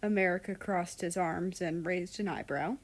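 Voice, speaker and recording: A woman's voice: fairly low pitch, moderate pace. A woman reading a fan fiction story aloud as scripted narration.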